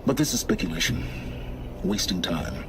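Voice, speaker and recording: A man playing an aloof, knowledgeable guide in a video game, speaking in a measured, formal and condescending way. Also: sits low, expressive, background noise. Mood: aloof, explanatory. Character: aloof, knowledgeable male guide, curt and scholarly. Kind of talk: video game dramatic dialogue.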